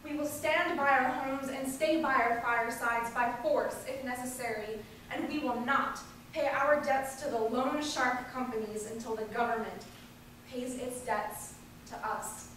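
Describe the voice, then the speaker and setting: A woman's voice: high-pitched, fairly even intonation, measured pace, a bit bright. A woman delivering the speech of an impassioned 19th-century populist orator, declaiming it emphatically and indignantly. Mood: indignant, defiant. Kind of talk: declaimed political speech read aloud. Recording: echoey hall from far off, faint noise.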